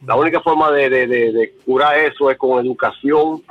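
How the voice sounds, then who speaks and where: A man from the Dominican Republic talks earnestly with a husky, breathy voice. His sound is dry and close but comes through a dull, muffled line. He often repeats a word while he searches for the next one. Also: mid-range voice, steady tone, normal speed, no echo. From Dominican Republic, raised in Puerto Rico. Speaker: interviewee, bar owner. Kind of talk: remote interview.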